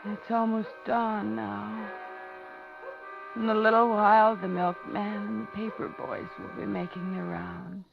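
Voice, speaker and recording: An actress playing a terrified captive woman who pleads and humours her captor in a deliberate, dramatic 1950s radio style. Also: mid-range voice, little pitch movement, vocal fry. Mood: weary dread. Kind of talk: 1950s radio thriller acting. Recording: muffled vintage radio with dramatic music.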